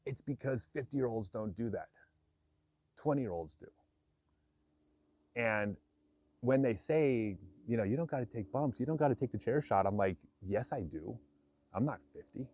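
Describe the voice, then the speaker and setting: A man's voice: medium pitch, mellow, slightly rough, muffled recording. A man in his fifties talking candidly and reflectively to camera, frank and self-deprecating with occasional chuckles. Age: 50s. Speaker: vlogger. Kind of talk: candid personal talk to camera.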